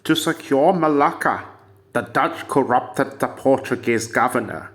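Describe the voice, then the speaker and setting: A man reading a quoted passage aloud as a voice-over, measured and deliberate. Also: mid-range voice. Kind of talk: slow read-aloud voice-over.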